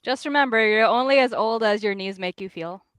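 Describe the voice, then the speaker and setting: A woman's voice: on the high side, brisk, fairly bright tone, clear, resonant tone, dry recording, clean studio sound. A woman chatting informally and wryly as a panelist on a casual show. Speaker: panelist, indie creator. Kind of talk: casual chat.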